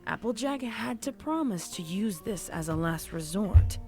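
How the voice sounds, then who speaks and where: A woman's voice: mid-range voice, animated, a little slow, darkish tone. Woman narrating a story over music. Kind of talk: scripted audiobook-style horror fan fiction narration.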